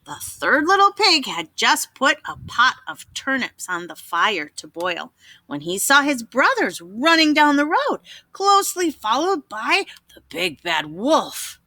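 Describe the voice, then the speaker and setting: A woman storyteller giving an expressive, dramatic read-aloud narration for children. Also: high voice, measured pace, no echo.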